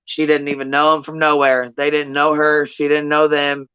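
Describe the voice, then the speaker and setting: Flat, blunt livestreamer with a low-fidelity sound. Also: moderate pace, smooth, no room sound, muffled recording. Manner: blunt, profane, slangy, repeats points for emphasis. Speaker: livestream host giving commentary. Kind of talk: casual livestream commentary, chatting with viewers.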